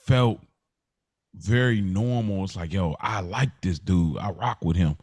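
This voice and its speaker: A man's voice: low voice, measured pace, a little mellow, no echo. A man speaking casually and earnestly in informal slang, telling personal stories as a podcast co-host. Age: adult.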